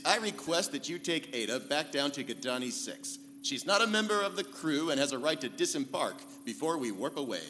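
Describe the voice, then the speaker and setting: Do-gooder space captain, formal n heroic, voice swings a lot, pulp radio play, roomy hall. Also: male voice, on the high side, big pitch swings, fairly quick, few pauses, bright, forceful tone, slight background noise. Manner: declamatory, formal. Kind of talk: live sci-fi comedy radio play in pulp serial style. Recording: live convention hall stage, reverberant, music behind.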